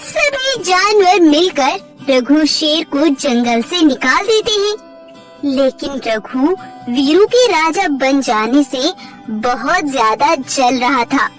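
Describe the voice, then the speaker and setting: A woman narrating a scripted children's story in an expressive storytelling manner. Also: high voice, slow. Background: background music.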